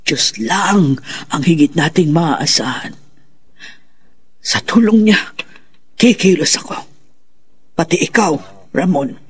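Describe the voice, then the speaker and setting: A performer playing a devout, resolute woman exorcist elder, solemn and assured, with a husky voice in a radio drama. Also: medium pitch, expressive, slow, long pauses, heavily acted.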